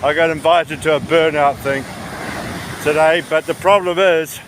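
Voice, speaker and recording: A man's voice: fairly high pitch, steady tone, normal speed. A man giving casual, rambling commentary as a travel vlogger, walking outdoors along a beach. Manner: rambling, chatty. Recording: windy outdoor recording with surf noise. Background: wind, waves, outdoor ambience.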